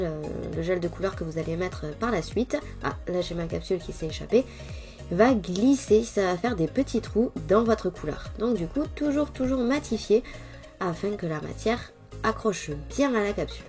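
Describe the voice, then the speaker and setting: A woman's voice: mid-range voice, normal speed, soft background music. Stuffy-voiced French woman, chatty tutorial. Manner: chatty, lively, addressing viewers directly. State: stuffy head cold. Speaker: nail technician. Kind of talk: casual tutorial vlog.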